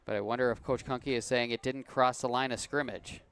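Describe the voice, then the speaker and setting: A man doing play-by-play for a high school football game, calm and unhurried, his voice a bit worn and cracking. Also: smooth. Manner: calm, unhurried play calling with easy laughs. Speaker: play-by-play announcer.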